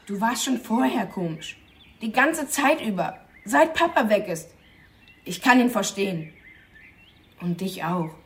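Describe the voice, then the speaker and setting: A man's voice: high voice, very animated, a little slow, long pauses, exaggerated voice. A young male actor playing a sullen, angry teenage schoolboy in a radio drama, with defiant, slangy talk. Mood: angry, accusing. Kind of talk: German radio crime drama acting.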